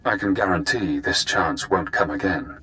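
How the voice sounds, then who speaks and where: An actor playing a sinister, calculating engineered prisoner in a sci-fi video game, cool and measured. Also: male voice, low voice, pushed and bright. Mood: sly, calculating.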